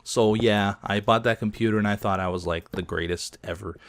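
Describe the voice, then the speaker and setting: A somewhat low-voiced man in his thirties, hoarse as though he is losing his voice. He rambles through personal stories while playing, chatty and self-deprecating, laughing at himself often. He is recorded at home on a desk mic. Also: fairly even intonation, brisk. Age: 30s. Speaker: gaming video host. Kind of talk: casual gameplay commentary.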